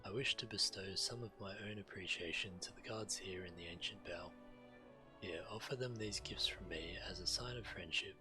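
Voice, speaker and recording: Deep-voiced man reading game quests aloud. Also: moderate pace. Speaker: game streamer. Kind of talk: reading quest text aloud while playing a video game. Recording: loud game music behind. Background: video game music.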